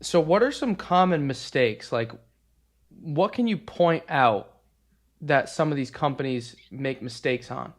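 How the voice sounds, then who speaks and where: A man's voice: fairly high pitch, expressive, measured pace. Confident young man listing points. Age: 20s. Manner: confident, lays out points as a list. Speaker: podcast co-host and consultant. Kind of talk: casual podcast conversation.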